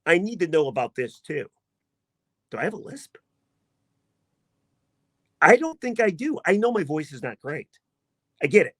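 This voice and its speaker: A man hosting a sports podcast, talking in a casual, emphatic and self-mocking way, with a voice he himself calls not deep. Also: very animated, moderate pace, pauses often, fairly bright tone, no room sound, studio quality. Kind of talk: casual sports commentary monologue.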